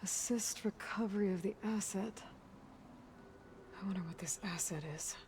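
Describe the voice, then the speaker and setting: A woman voicing a serious, observant young warrior scout in a video game, muttering her thoughts in hushed asides. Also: mid-range voice, normal speed, natural voice. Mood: focused, pondering. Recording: game audio with clear ambient noise.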